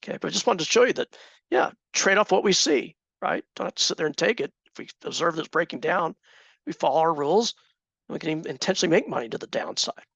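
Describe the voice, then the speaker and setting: A man with a fairly high, gravelly voice leads a trading class, chatting with his students and throwing questions to them. His voice is a little hoarse, and the sound is dry and close. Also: animated, quick pace, fairly bright tone, no room sound. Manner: conversational, asks the class questions. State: slightly hoarse, clearing throat. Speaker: trading instructor. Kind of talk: teaching a live class.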